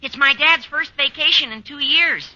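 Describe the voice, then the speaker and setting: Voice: high-pitched, normal speed, pushed and bright. A performer playing an eager, cheeky teenage schoolboy with breezy, gushing, slangy talk in an old radio sitcom. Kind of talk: 1940s-50s radio sitcom acting. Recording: dull old radio recording.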